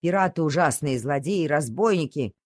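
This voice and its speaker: A woman doing a gruff old sailor. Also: low voice, animated, a little mellow, no room sound, clean studio sound, pitched below the performer's own voice. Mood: warning. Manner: warning, measured.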